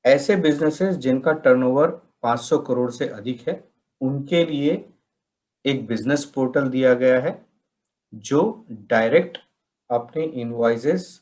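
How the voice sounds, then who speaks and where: Slow-paced man giving methodical instruction. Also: mid-range voice, darkish tone, low-quality recording. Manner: methodical, step-by-step explaining. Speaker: software trainer presenting a tutorial. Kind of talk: instructional slide narration.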